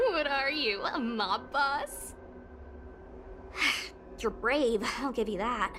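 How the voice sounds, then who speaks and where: A woman voicing a loyal, easily scared but well-meaning young girl in a video game, expressive and earnest. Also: on the high side, extremely slow, music in the background. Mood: nervous, curious.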